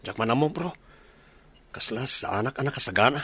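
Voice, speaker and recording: Man playing a fast-talking, eager young man. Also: mid-range voice, expressive, pushed and bright, slightly rough, full character voice. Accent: Filipino, Ilocano. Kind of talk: Ilocano comedy radio drama.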